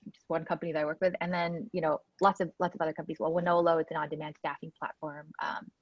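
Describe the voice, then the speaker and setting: A woman's voice: deep voice, little pitch movement, fast, no echo. A woman from Hong Kong on a panel, talking casually about her background with many fillers. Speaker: venture investor panelist. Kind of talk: panel talk, personal background. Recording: dull lo-fi sound.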